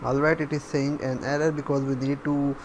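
A man giving a step-by-step coding tutorial, halting as he thinks aloud while typing. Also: mid-range voice, little pitch movement. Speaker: programming tutorial instructor. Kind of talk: step-by-step coding screencast narration. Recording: faint background noise.

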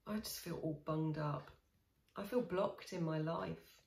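A woman in her forties guiding a tapping session, speaking slow, soothing, repeated affirmations with sighs. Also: deep voice, gravelly, darkish tone. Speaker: EFT tapping coach. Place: small room indoors. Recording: echoey small room.

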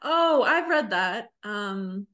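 Female podcast co-host, thirties, average pitch, relaxed informal delivery over a video call. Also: drawn-out words. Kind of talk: casual chat about books. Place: small room on a video call.

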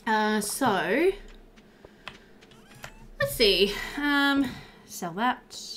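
A woman hosts a gaming video in a casual, easygoing way. Her pitch moves up and down a lot as she chats and thinks aloud. There is some noise with clicks behind her close mic. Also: lively intonation, drawn-out words, fairly bright tone. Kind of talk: casual chatty let's-play commentary.

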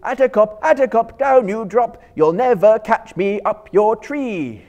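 A small, plucky hobbit fellow, played by a man, calls out high and loud. He chants in a mischievous, taunting sing-song, straight out of a storybook. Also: unhurried. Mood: taunting, cheeky.

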